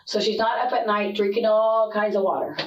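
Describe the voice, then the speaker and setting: A woman's voice: mid-range voice, steady tone, fairly quick, muffled recording, a little hiss or hum. A mother being questioned by police, answering with defensive, repeated denials. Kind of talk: police interrogation. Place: police interview room. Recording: echoey room, voice from far off.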